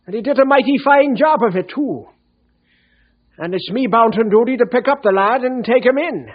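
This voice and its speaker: An actor playing a soft-hearted middle-aged Irish policeman with a folksy, gently weary brogue in an old-time radio drama. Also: extremely high pitch, moderate pace. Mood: earnest, kindly. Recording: muffled old radio broadcast recording.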